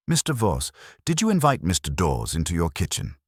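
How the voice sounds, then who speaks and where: A man's voice: deep voice, huge pitch swings, fairly quick, slightly rough, no room sound, clean studio sound, full character voice. A man voicing a stern, erudite detective who speaks in formal, precise, cutting sentences, in a mystery story. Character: stern, erudite male detective, disdainful and exacting.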